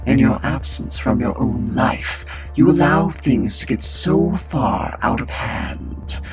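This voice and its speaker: A man playing a formal, patient, all-knowing confidant with measured, articulate and gently reproachful speech in an audio drama. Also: medium pitch, rough recording.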